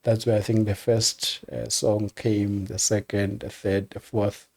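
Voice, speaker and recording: An older man with a South African accent, a music executive telling stories in a relaxed interview, measured and repeating words as he thinks. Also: on the low side.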